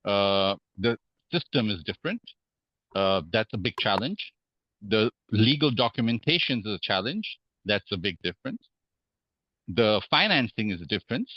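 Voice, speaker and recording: Podcast guest, man from Hong Kong, low voice, slightly dull sound. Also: big pitch swings, no echo. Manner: measured, many fillers and restarts. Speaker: guest real estate investor. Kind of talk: podcast interview.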